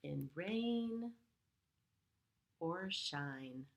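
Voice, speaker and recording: A woman's voice: fairly low pitch, expressive, very slow, gravelly, noisy background. A middle-aged woman reads a picture book aloud to young children, adding simple explanatory asides. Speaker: preschool storyteller reading aloud.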